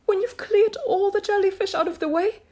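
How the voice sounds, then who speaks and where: Shrill, excitable Mock Turtle creature. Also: female voice, fairly quick. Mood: excited. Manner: exclamatory, effusive. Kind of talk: storybook character voice.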